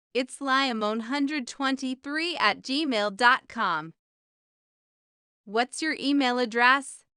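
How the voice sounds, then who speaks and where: A woman reading a scripted beginner language lesson of short questions and answers, with careful, even enunciation. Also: high voice, clear, resonant tone, no room sound, studio quality. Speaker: English language teacher.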